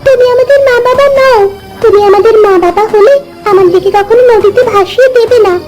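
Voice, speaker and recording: Female actor as a cartoon village girl, about twelve, very high voice, earnest and insistent, devoted daughter, light music underneath. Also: fairly quick. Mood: distressed, pleading. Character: pleading twelve-year-old village girl, devoted to her father. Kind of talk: Bengali animated moral story dubbing.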